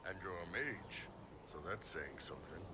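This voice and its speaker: An actor playing a huge, gruff, blunt and easygoing horned mercenary chief in a fantasy video game. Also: male voice, low-pitched, lively intonation, moderate pace, heavy background noise. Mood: relaxed, wry.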